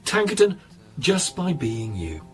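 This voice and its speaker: An actor with a British accent playing a brisk, self-absorbed, flippant investigator in a radio drama, fussy and theatrical. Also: male voice, on the high side, wildly swooping pitch, soft background music. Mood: harried, self-absorbed.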